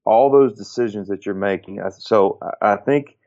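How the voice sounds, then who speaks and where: Man, late 40s, low-ish voice. Earnest, reflective ex-athlete talking through advice; muffled lo-fi audio. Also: moderate pace, darkish tone. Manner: earnest, repeats and restarts phrases, lists points. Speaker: former pro baseball pitcher, guest.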